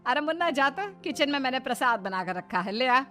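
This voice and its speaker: Brief: actress as scornful older mother-in-law for a cartoon moral tale, highish voice, curt and commanding, music behind. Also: expressive, fairly quick, pushed and bright. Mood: bossy.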